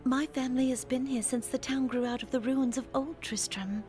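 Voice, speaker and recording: A woman's voice: high-pitched, steady tone, moderate pace, a little mellow, close to an everyday voice. A woman playing a sorrowful, fearful villager in a fantasy game, speaking mournfully. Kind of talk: fantasy game character acting. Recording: music behind the voice.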